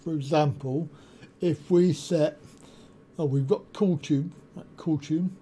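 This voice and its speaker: A man hosting a tech tutorial, explaining a software setup step by step with frequent pauses and ums on a desktop USB mic at his home computer desk. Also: fairly high pitch, breathy edge. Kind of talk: screen-share software walkthrough. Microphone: desktop USB condenser mic.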